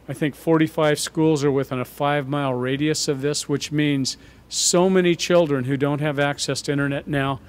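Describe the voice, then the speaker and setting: A man acts as master of ceremonies at a public dedication. His voice is smooth and resonant and sits slightly high, and he talks earnestly with frequent pauses and hesitations into a podium microphone. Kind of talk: formal ceremony introduction.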